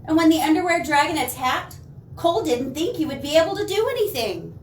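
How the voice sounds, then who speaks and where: A woman reading picture books aloud to young children, with expressive dramatic emphasis and chatty asides to her listeners. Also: sits high, brisk. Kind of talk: animated storytime read-aloud for children. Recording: roomy, distant mic, faint noise.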